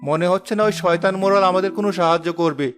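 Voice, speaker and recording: A man's voice: sits high, racing, close to an everyday voice. A man voicing an earnest, hopeful poor village farmer in a cartoon. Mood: helpful. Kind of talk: cartoon voice acting. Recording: music behind the voice. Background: background music.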